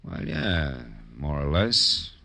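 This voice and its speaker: An actor playing a tough, world-weary private detective in a 1950s radio noir drama, clipped and wry. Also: male voice, extremely low pitch, big pitch swings, slow, gravelly, pushed and bright, dry recording, heavily acted. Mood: terse, guarded.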